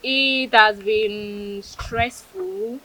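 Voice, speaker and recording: A woman's voice: medium pitch, steady tone, extremely slow, sharp tone, slight background noise, low-quality recording. A young woman with a Nigerian accent answering informally in an interview as a student stage manager. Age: young adult.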